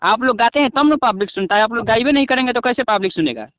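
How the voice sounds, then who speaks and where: A man with a Bhojpuri accent speaks in a high, forceful voice, scolding and pressing his point like a reporter. It is a recorded phone call, dull in sound and very dry. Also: expressive, rapid-fire, no room sound. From Buxar, Bihar, India. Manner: argumentative, scolding. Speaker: news reporter. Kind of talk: confrontational phone interview.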